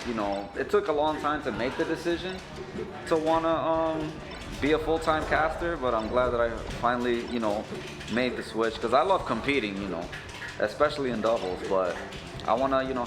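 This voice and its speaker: A young man with a New York accent, chatting in a laid-back, friendly way as a stream co-host. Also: mid-range voice. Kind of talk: casual stream chat. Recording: echoey room with music.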